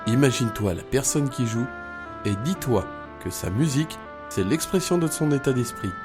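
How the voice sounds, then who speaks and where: A man acting as a calm, reassuring mentor in an audio drama, encouraging and instructive. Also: mid-range voice, normal speed, music in the background, natural voice. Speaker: actor in an amateur audio fiction.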